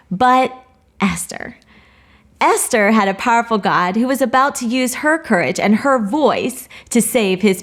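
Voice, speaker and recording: A woman teaching a Bible study, speaking in an earnest, personal way with touches of light humor. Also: on the high side.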